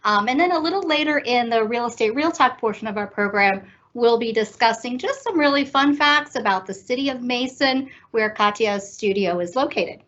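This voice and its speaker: A woman co-hosting a local talk show, chatty and quick to laugh. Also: on the high side, a bit bright. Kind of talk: casual chat. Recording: dull lo-fi sound.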